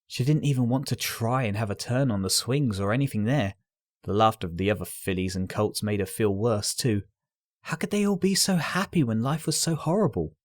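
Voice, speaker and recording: Low-voiced man narrating, close and dry. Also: dry recording. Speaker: story narrator. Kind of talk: dramatic scripted fan fiction narration.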